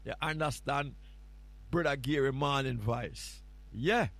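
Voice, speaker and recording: A man's voice: mid-range voice, takes it slowly, a bit bright. A man with a Jamaican accent, filling in as a radio host and chatting casually on a morning show in a playful, rambling, teasing way.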